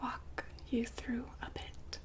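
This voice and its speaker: A woman playing the poised, businesslike madam of a futuristic establishment in an ASMR roleplay, whispering softly and formally to a client. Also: lightly acted. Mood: smooth, attentive. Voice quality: soft, breathy whisper. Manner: polite, formal, unhurried saleswoman patter.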